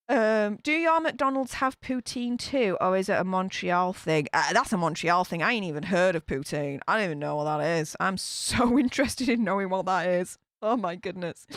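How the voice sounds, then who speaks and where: A woman's voice: medium pitch, no room sound, clean studio sound. A young woman with a Yorkshire accent, chatting casually on a live stream as she reads viewers' comments aloud and laughs often. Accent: Yorkshire English. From Yorkshire, England. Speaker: YouTube streamer reading live chat.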